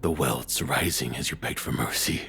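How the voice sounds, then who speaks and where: A man playing a cruel, controlling ex-boyfriend in an intimate audio roleplay, taunting and belittling slowly in a breathy, husky voice that drops toward whispers. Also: a bass voice, pushed and bright, faint music behind, full character voice. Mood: menacing, degrading. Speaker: voice actor.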